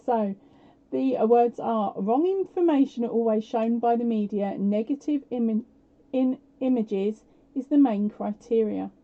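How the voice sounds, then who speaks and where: A woman hosting a live prize quiz on her stream, chatty and self-deprecating with lots of fillers, her voice a little croaky. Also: a little high. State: slightly croaky voice. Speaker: podcast host running a live prize quiz. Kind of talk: casual live-stream chat. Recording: dull lo-fi home stream audio.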